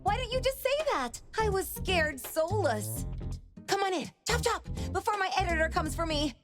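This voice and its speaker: A woman voicing an excitable, self-mocking reclusive manga artist in a video game, with dramatic delivery. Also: very high voice, normal speed. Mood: flustered, indignant. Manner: dramatic, exclamatory. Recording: music behind the voice.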